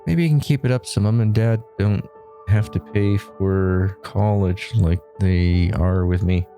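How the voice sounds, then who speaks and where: An actor playing an older brother eager to leave home for college, talking loud and brash. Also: male voice, sits low, a little slow, faint music behind.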